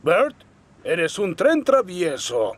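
A man playing a strict, disapproving small railway controller in a cartoon, gravelly, with a Latin American accent. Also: sits high, huge pitch swings, takes it slowly, heavily acted. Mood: scolding. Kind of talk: cartoon voice acting.

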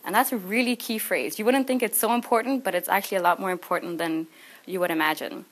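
A woman's voice: medium pitch, fast talker. A young adult woman, a student speaker, giving an informal, conversational presentation in a small classroom. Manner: conversational, anecdotal. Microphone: camera a few meters away.

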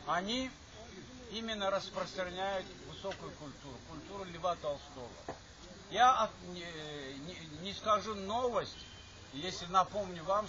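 An older man from Azerbaijan addresses his former classmates in an assembly hall, speaking in a higher voice. He wanders, restarts and repeats phrases as he goes. The recording is muffled and lo-fi, and the audience murmurs throughout. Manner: rambling, repeats himself, stops to restart. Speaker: alumni speaker. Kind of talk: informal speech at a reunion. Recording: dull lo-fi recording with chatter from the audience.

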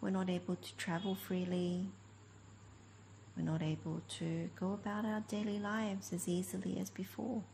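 A woman leading a guided meditation as a teacher, speaking gently in short, unhurried phrases with long pauses. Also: lowish voice, flat delivery, creaky, a little hiss or hum, poor audio. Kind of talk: guided meditation, slow spoken instruction.

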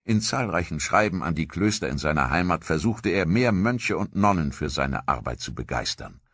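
A man narrating a history documentary from a script in a measured, grave storytelling manner. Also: low-pitched, lively intonation, fairly bright tone, no echo.

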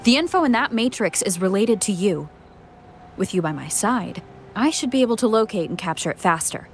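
An actress playing an earnest young heroine in a video game, speaking in a serious, measured, businesslike way. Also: female voice, medium pitch, expressive, slight background noise, lightly acted. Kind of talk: video game character dialogue.

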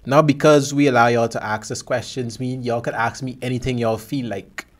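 A man's voice: mid-range voice, fairly even intonation, normal speed. A young man from Guyana with a mixed Caribbean accent, hosting a podcast interview in a small studio on a desk mic, joking and laughing easily. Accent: Caribbean English, mixed Guyanese and Bahamian. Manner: joking, laughs often, easygoing. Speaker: podcast host. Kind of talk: casual interview chat. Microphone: studio mic on stand.

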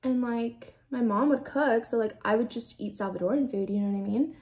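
A young American woman in her twenties chats through her makeup routine in a small room, the camera close in front of her. Her voice sits a little high but sounds soft and mellow. She rambles casually and leans on "like" as she talks. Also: a little fast. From United States. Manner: chatty, rambling, heavy use of "like". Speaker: beauty vlogger. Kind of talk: casual get-ready-with-me chat. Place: small indoor room with a plain backdrop.

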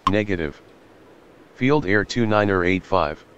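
A low-pitched, businesslike male pilot acted by a man for a flight control game. He gives clipped, procedural radio readbacks with a flat delivery. Faint engine noise sits behind the voice. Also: a little slow, highs cut off. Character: businesslike male airline pilot answering the tower.

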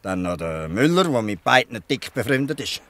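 An actor with a Swiss German accent playing a weary, good-natured old fisherman who explains things haltingly in a dialect audio drama. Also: fairly low pitch, very animated, a bit bright. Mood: explaining, resigned.

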